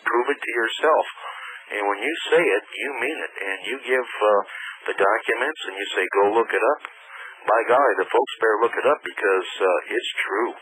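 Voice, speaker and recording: Male phone-in caller, low voice, halting earnest delivery, noisy radio call. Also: bright, forceful tone. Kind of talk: unscripted phone-in conversation. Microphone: telephone.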